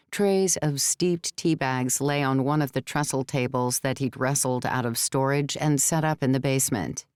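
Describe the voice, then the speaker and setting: A woman with a very low, very smooth voice narrates a novel. The reading is scripted audiobook storytelling, captured close and dry on a studio microphone. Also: darkish tone, no echo. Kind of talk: scripted third-person fiction narration.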